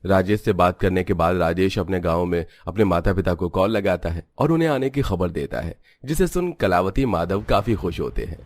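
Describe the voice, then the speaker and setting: A man reading scripted narration for an animated family story. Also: sits low, normal speed, few pauses.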